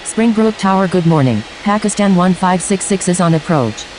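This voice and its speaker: A woman's voice: fairly low pitch, lively intonation, moderate pace, darkish tone, lightly acted, low-quality recording. A woman playing an airline pilot making clipped, businesslike radio calls in an air traffic control game. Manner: clipped, formulaic, businesslike callouts.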